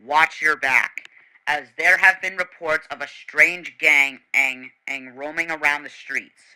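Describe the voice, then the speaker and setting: A man's voice: fairly high pitch, fairly even intonation, unhurried, sharp tone, clean tone, rough recording. A man reading a scripted mock emergency broadcast as a stern announcer, repeating warnings and stumbling over words.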